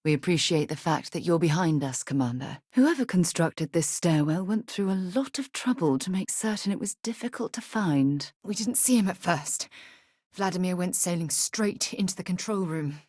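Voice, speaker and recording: An actress voicing a composed, dry-witted woman explorer and leader in a video game, speaking in a measured, articulate way. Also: lowish voice, lively intonation, darkish tone, breathy edge, no room sound. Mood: calm, wry. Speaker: companion character. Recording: dry studio recording.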